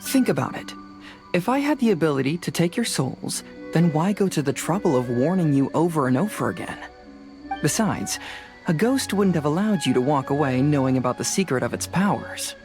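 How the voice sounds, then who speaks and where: A woman playing a calm, fearless young investigator in a video game, speaking in a methodical, matter-of-fact way. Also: low voice, normal speed, over music. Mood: thoughtful.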